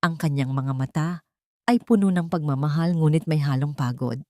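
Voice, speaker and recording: A woman's voice: low voice, moderate pace, warm tone, dry recording, studio quality. A woman reading a scripted dramatic story as an audiobook narrator.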